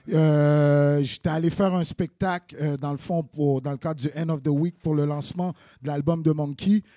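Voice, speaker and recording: A man's voice: fairly high pitch, dark tone, no room sound, clean studio sound. A man with a Quebec French accent hosting a web TV interview, hyping up his guests. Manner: enthusiastic, hypes up guests. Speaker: web TV host.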